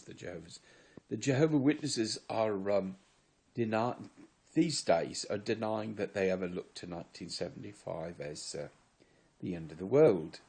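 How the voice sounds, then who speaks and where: Low husky man, put-on English accent. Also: a little mellow. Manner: rambling, earnest, wry. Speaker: former member giving testimony. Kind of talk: solo confessional monologue to camera.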